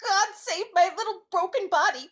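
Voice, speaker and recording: A woman voicing a timid, silly male rat sidekick in a live dramatic reading, whiny and excitable. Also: extremely high pitch, normal speed. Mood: whiny, anxious. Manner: whiny, excitable comic complaining.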